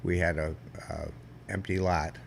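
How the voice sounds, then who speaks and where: An older man, a veteran guest, telling stories in a rambling, halting way with many restarts and a loud voice that carries. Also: deep voice, steady tone, gravelly, slight background noise. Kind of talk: casual storytelling interview.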